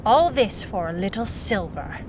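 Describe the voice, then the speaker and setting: A woman's voice: medium pitch, sing-song, measured pace, background noise. A woman playing a wry, hard-boiled private eye, clipped and dry, in a noir detective audio drama. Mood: determined. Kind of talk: noir detective narration and radio-drama acting.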